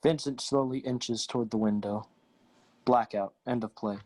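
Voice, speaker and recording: A man's voice: mid-range voice, steady tone, moderate pace, darkish tone, dry recording. A man reading scripted stage directions as narration for a play.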